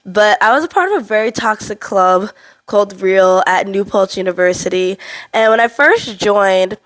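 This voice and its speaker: A woman in her twenties with a slightly stuffy voice from a cold, telling a personal story to camera in a candid, animated way. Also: mid-range voice, normal speed, rough recording. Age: 20s. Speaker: vlogger.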